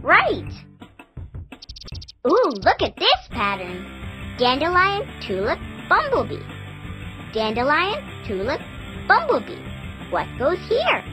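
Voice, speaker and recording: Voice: high voice, huge pitch swings, slow, pauses often, sharp tone, faint music behind, exaggerated voice, rough recording. A child-voiced performer playing a cheerful, encouraging little girl who coaches young players in a sing-song, exclamatory way in an educational cartoon game.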